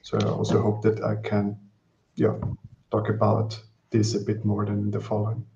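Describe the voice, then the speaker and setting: A man's voice: fairly low pitch, completely flat delivery, moderate pace, gravelly, dark tone, a little hiss or hum. A man, introducing himself as a research manager on an online panel. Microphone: headset on a video call. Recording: dull video-call audio.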